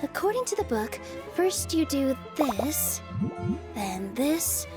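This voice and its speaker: Sweet, very high-voiced young magician. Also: female voice, very animated, takes it slowly, music in the background, exaggerated voice. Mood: helpful, busy. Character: gentle young female magician, earnest, sweet and gracious. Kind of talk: animated video game acting.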